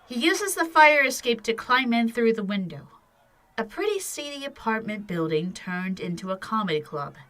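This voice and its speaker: A woman's voice: mid-range voice, pushed and bright. A woman narrating an audio drama from a script as its storyteller.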